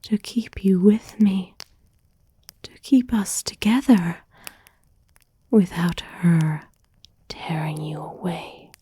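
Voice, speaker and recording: ASMR roleplay: actress as obsessive girlfriend, sweetly threatening, soft dark whisper with heavy breath, lingering over each phrase. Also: lowish voice, slightly rough, heavily acted. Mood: tender menace. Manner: slow, intimate, lingering phrasing with endearments. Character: obsessive, possessive yandere girlfriend, sweetly menacing. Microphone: close, dry ASMR microphone.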